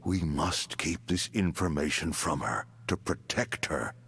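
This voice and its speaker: A man voicing a grave, secretive older spy chief in a video game, gravelly, husky and urgent. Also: a bass voice, lively intonation, a little slow, a bit bright, heavily acted. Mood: grave urgency.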